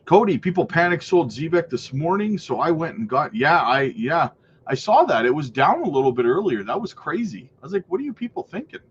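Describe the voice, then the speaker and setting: A man's voice: a little high, very animated, brisk, muffled recording, a little hiss or hum. Upbeat stuffy man hosting a crypto stream. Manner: upbeat, repeats "right?" and "man", reads out viewer comments. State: stuffy from a cold. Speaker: crypto livestream host. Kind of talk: live chart analysis and chat shout-outs.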